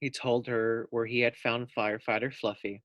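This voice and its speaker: Young teacher, male, reads to kids, gentle and steady. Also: medium pitch, no echo, muffled recording. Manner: gentle, unhurried, with friendly asides. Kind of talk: reading a picture book aloud to first graders.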